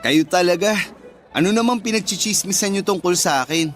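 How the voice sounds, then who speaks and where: A man's voice: high voice, a little fast, natural voice. An actor playing a shy, modest man of twenty-one in a radio drama, casual and bashful. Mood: embarrassed, amused. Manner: bashful, self-deprecating, casual. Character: shy, modest introverted young male office worker, twenty-one. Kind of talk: Tagalog radio drama dialogue.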